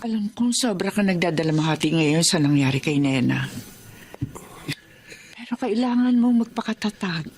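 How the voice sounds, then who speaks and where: An actress playing a concerned, supportive aunt in a Tagalog radio drama, with a gentle, solemn manner. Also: female voice, fairly low pitch, lively intonation, normal speed, long pauses, a little hiss or hum. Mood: consoling. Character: older aunt, concerned, steady, supportive family woman.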